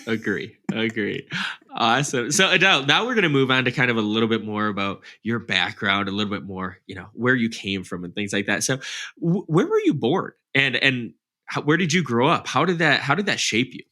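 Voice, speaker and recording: A man co-hosting a podcast who asks the guest about her background and answers her with quick affirmations. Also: medium pitch, sing-song, pushed and bright. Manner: affirming, quick back-channel replies. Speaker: podcast co-host. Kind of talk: casual podcast interview.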